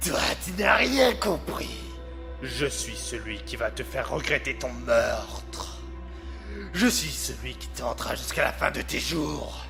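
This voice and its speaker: A man playing a menacing, mocking voice that haunts a killer's mind in an audio drama, with drawn-out theatrical delivery. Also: medium pitch, big pitch swings, gravelly, over music. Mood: menacing, taunting. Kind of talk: amateur audio drama acting.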